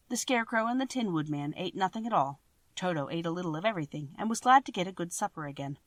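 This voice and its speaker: A woman reads a classic storybook aloud, measured and expressive, her voice rising and falling a great deal. The recording is dry and close, with no room sound. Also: lowish voice, dry recording. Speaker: audiobook reader. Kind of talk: storybook reading.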